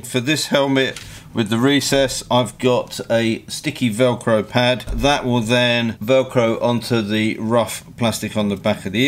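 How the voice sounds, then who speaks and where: A man giving a hands-on product review in a practical, matter-of-fact, explanatory way. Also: lowish voice. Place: indoor motorcycle workshop bench.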